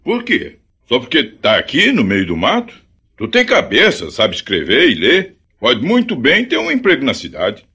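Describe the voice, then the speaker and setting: An actor playing a frank, plain-spoken backwoods man in a Brazilian radio drama, talking colloquially and forcefully. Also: medium pitch, wildly swooping pitch, normal speed, slightly rough, exaggerated voice. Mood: insistent.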